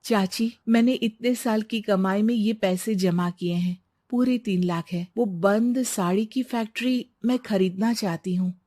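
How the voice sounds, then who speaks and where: A woman's voice: medium pitch, unhurried, warm tone, close to an everyday voice. Casting: narrator as earnest young businesswoman in a cartoon tale, mid-range voice, firm and persuasive. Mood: hopeful resolve. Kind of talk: Hindi cartoon moral story acting.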